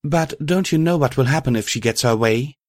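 A fiery mare from a fantasy storybook, voiced by a man, speaks up with indignation. The voice is lifted above the performer's usual pitch and urgent in manner. The sound is dry. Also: very animated, moderate pace, no room sound. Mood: livid. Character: fiery, indignant female pony, loyal friend of hero.

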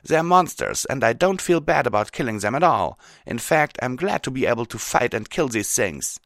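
A man voicing a hot-headed, proud female cartoon pony with a forceful, defiant delivery. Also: mid-range voice, very animated, moderate pace, no echo, studio quality, voice pushed up. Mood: angry, defiant.

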